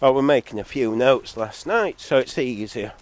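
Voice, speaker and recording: A man's voice: expressive, moderate pace. A man full of a head cold delivers a rambling, argumentative vlog monologue with sardonic asides, on a distorted recording that clips. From Zambia-born, living in England. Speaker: solo video maker. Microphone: phone or camera, recorded too hot. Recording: distorted, clipping from high recording level.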